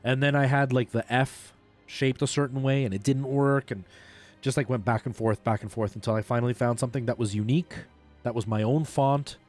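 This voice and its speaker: A man's voice: medium pitch, a little mellow. Casual male streamer, game music behind. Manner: informal, halting, thinking aloud. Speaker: live streamer. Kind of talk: casual chat while gaming.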